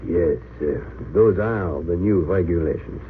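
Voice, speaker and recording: A man's voice: low voice, a little slow. An actor playing a grave, courteous Belgian resistance man in an old radio drama, speaking formally and earnestly. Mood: grave gratitude. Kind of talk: 1930s-40s radio drama acting. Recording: tinny, hissy old radio recording.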